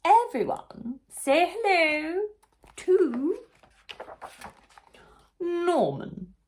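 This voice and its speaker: Storybook queen bee played by a woman: high-pitched, loud, theatrical schoolmistress delivery. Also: sing-song, a little hiss or hum, heavily acted. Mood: grand, welcoming. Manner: loud, theatrical, drawn-out announcing. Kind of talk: children's picture-book character voice.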